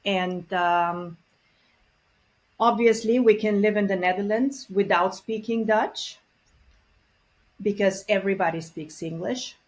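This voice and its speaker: A woman, a guest in an interview, talking earnestly and reflectively with frequent pauses and fillers. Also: mid-range voice. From Bulgaria. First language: Bulgarian. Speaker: guest expert on multilingual parenting. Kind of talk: conversational interview.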